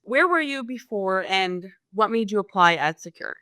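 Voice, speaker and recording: Woman host, animated up-and-down pitch, playful, dry close sound. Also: moderate pace, dry recording. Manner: lively, playful, laughs easily. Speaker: interviewer, host. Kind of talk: casual interview questions.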